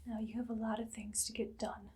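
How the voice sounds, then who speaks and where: A woman playing a haughty evil queen in an ASMR roleplay, speaking in a soft, breathy, often whispered voice with imperious, sweetly sinister deliberation. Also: a little high, flat delivery, fairly quick. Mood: condescending, commanding. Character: evil haughty queen, casually menacing, commanding a captive servant.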